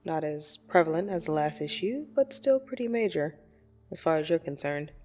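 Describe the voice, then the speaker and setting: A woman playing a blunt, teasing yet soothing dream demon in an ASMR roleplay, speaking deliberately and intimately with gentle condescension. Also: low voice, animated. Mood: musing. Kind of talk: ASMR roleplay audio drama. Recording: soft music behind the voice. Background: soft meditation music.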